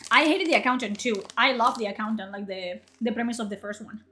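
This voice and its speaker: A woman's voice: on the high side, fast talker, bright, forceful tone, slight background noise. A young woman from Spain, a native Spanish speaker, chatting in a rambling way on her livestream and answering chat. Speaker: livestream host.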